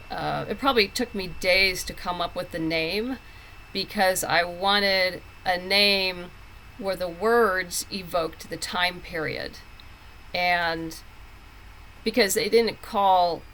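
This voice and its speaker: Bright, forceful low-pitched woman in casual interview. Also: slight background noise, poor audio. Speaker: writer being interviewed. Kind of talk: casual conversational storytelling.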